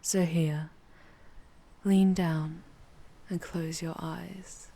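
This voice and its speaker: A woman voicing a seductive, proud, ancient female demon in an ASMR audio roleplay, speaking formally and deliberately with a teasing, commanding charm. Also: fairly low pitch, little pitch movement, vocal fry, dark tone, heavily acted. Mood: intimate, commanding.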